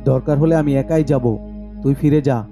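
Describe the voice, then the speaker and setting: A man's voice: mid-range voice, steady tone, moderate pace, dark tone, soft background music, pitched below the performer's own voice, lightly acted. A man voicing a bold, stubborn young apprentice magician in a horror cartoon, insistent and dismissive of fear. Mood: determined.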